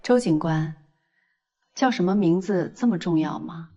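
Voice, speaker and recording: A woman's voice: lowish voice, a little slow, dark tone, dull audio. Woman playing a cold, contemptuous young villainess. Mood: cold, scornful. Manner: contemptuous, coolly taunting. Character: cold vengeful young woman criminal, scornful, unrepentant. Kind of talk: dramatized audio fiction acting.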